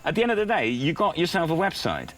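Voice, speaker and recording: A man whose mother tongue is Dutch, telling stories casually as a podcast guest with lively exclamations. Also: on the high side, quick pace, faint music behind. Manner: lively, animated, breaks into exclaimed sayings. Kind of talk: casual storytelling.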